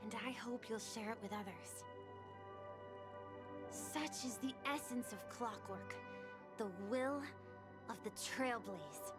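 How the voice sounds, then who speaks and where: A woman voicing a gentle, wistful, encouraging young boy in a video game, with music behind. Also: fairly high pitch, takes it slowly, pauses often. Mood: reassuring. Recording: background music under voice.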